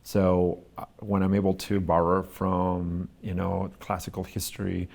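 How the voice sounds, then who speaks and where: A male artist giving thoughtful interview answers, pausing often to search for the right words. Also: deep voice, monotone, gravelly, a little mellow.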